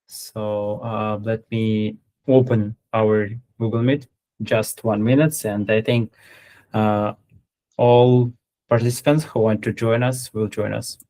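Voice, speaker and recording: A man hosting an online meeting as an educational facilitator, speaking informally and haltingly in non-native English phrasing. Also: on the low side, steady tone, warm tone, rough recording. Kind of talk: hesitant, informal stream hosting.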